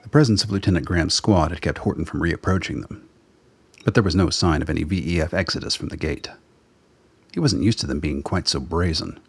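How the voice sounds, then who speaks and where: A man with a husky voice reading scripted narration for an audio drama as its narrator. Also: low-pitched, brisk, dry recording.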